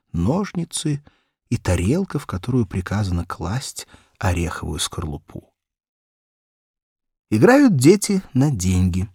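A man reads a children's story in a husky voice with highly animated intonation. His phrasing is deliberate and literary. The recording is dry and close, with no room around the voice. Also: no echo. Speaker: audiobook narrator. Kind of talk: expressive scripted narration of a children's story.

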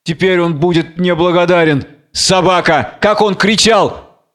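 A man's voice: high voice, unhurried, a bit bright, voice pushed up. A man reading the part of a commanding, scheming Philistine lord, imperious and falsely solicitous, in a biblical tragedy. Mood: scornful musing.

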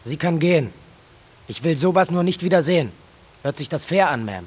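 A man's voice: a little high, animated, a little fast, slightly rough. An actor playing a stern but fair small-town policeman in a German audio drama, speaking in a dry, folksy, firm way.

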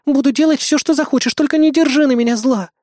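A remorseful young idol girl with general's rank, pitched well up and pushed louder than the reader's own talk, as a man puts her on. She pleads softly in a dry, close audiobook recording. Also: fast, no echo. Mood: pleading. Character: young female idol who is a general, apologetic. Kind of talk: audiobook character voice.

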